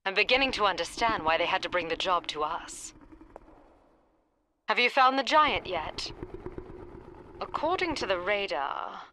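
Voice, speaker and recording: A woman's voice: mid-range voice, animated, a little slow, pauses often, bright, forceful tone. An actress playing a composed female partner who gives mission intel in a video game. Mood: composed, wry. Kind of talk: English video game cutscene acting. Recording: clear background noise over voice.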